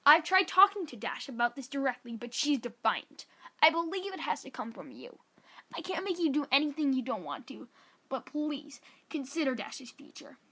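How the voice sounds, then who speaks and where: A woman's voice: high-pitched, very animated, normal speed, bright, forceful tone, exaggerated voice. A woman voicing a formal, stern female cartoon pony captain, firmly reading out an official letter. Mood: earnest, persuasive. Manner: formal, firm, earnest.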